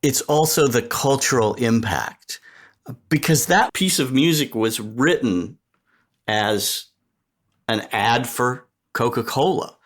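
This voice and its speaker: A middle-aged or older man giving thoughtful, articulate interview answers as a podcast guest, in measured, reflective phrasing. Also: mid-range voice, a bit bright. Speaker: podcast guest, sonic strategy expert.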